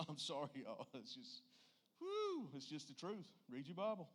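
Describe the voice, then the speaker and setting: A man's voice: a little high, huge pitch swings, unhurried, a bit bright. A man with a Southern US accent preaching a church sermon in a folksy, plainspoken and emphatic way.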